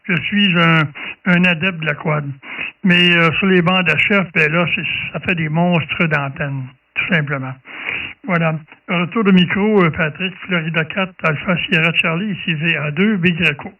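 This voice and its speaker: A man with a Quebec French accent and a high voice talks casually on amateur radio. He goes along in short, hesitant phrases. The recording is somewhat dull, and he sounds set back from the microphone. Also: normal speed. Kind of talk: casual ham radio chat. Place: speaking at own radio station. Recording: muffled, distant from the mic.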